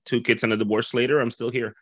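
A man's voice: mid-range voice, level tone, fast, a bit bright, no echo. A man from the Dominican Republic hosting a casual interview, talking in loose run-on thoughts and acting out quoted voices. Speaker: podcast host.

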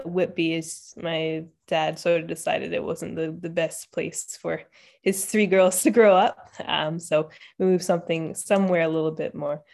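Gravelly low-voiced woman. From Ontario, Canada. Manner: relaxed, many "um" fillers, thinking aloud. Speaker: podcast guest, entrepreneur. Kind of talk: casual interview chat.

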